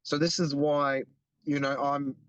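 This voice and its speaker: A young Australian man talks in an earnest, explanatory way, circling back and restarting his sentences. His voice sits a little high for a man. The recording is close and dry. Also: flat delivery, measured pace, fairly bright tone, no echo, muffled recording. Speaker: guest, activist. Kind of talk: casual interview.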